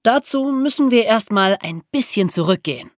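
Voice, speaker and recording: Lively woman co-hosting a history podcast. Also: medium pitch, moderate pace. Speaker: podcast co-host. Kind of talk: conversational explanation of history for young listeners.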